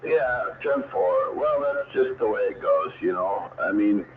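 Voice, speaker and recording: A man's voice: fairly low pitch, lively intonation, measured pace. A man chatting casually in informal slang as a CB radio operator, his voice coming through the radio. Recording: muffled, very noisy CB radio transmission.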